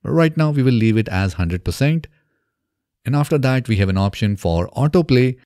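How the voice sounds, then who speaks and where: A man in his thirties presenting a software tutorial step by step in a methodical way, recorded close on a desk microphone in a small home studio. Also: on the low side, dry recording. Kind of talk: scripted software tutorial narration. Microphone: close desk microphone on boom arm.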